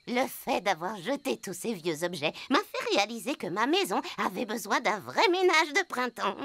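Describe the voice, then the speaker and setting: A woman's voice: a little high, moderate pace, no echo, heavily acted. A woman voicing a theatrical, bossy female witch and ice queen in a French cartoon dub. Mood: brisk, polite. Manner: theatrical, expressive. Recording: prominent cartoon music behind voice.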